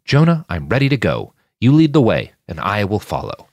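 A man's voice: lowish voice, huge pitch swings, moderate pace, dry recording, clean studio sound, exaggerated voice. A man voicing a devout, naive young woman in a fiction story, with earnest, pious phrasing. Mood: eager, resolute.

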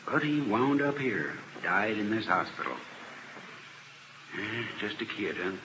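An actor playing a weary police officer in a 1950s radio drama, speaking matter-of-factly. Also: male voice, lowish voice, animated, a little slow. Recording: dull, noisy old radio recording.

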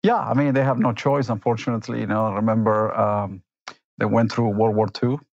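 A man in his fifties with a low, gravelly voice answers interview questions in a reflective, rambling way, full of fillers and tag questions. He is from Argentina and Italy. The recording is dry and a little dull. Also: fairly even intonation, a little fast, darkish tone, no echo. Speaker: company executive, interview guest.

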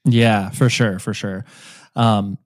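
A man's voice: lowish voice, steady tone, slow, a little mellow, dry recording, studio quality. Man asking rambling interview questions. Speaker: podcast host. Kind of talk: casual interview.

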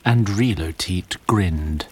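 A man narrating a scripted story as a deliberate, theatrical storyteller. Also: low voice, darkish tone, slight background noise.

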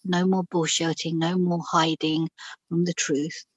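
A woman's voice: lowish voice, level tone, unhurried, husky, dry recording. A middle-aged woman from Pakistan, a guest telling her personal story in an interview, frank and reflective, with many fillers and restarts.

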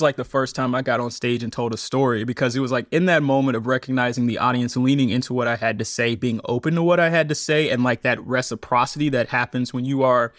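A man who is a stage storyteller, talking casually in an interview, animated and often laughing as he acts out his own remarks. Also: mid-range voice, no echo, clean studio sound. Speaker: storyteller and podcaster, guest.

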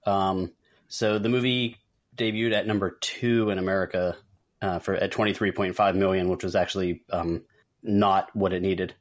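A man with a deep voice hosts a casual talk podcast. He explains things in a measured, conversational way and sums up for his co-hosts. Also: steady tone. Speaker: podcast host. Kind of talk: casual talk show moderating.

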